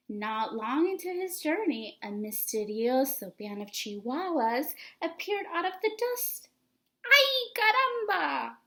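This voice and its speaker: Woman, high pitch, slow pace, expressive children's read-aloud, deliberate storytelling. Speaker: storybook reader.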